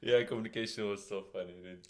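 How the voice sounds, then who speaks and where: A middle-aged man from Tunisia, co-hosting a podcast in halting full of fillers. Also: lowish voice, steady tone, darkish tone. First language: Tunisian Arabic. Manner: halting non-native English with fillers and laughs. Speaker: podcast co-host. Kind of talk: casual chat.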